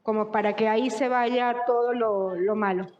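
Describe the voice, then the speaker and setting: A woman's voice: fairly high pitch, fairly even intonation, normal speed, a little mellow. A middle-aged woman with a Venezuelan accent answering questions informally at a cooking workshop. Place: large echoey indoor room. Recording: echoey hall, muffled sound.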